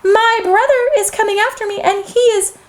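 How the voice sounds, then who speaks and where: Woman as a timid little billy goat, very high-pitched. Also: steady tone, normal speed. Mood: frightened pleading. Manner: pleading, squeaky frightened cries. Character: littlest and middle billy goats, small timid males. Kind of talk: children's storybook character voice.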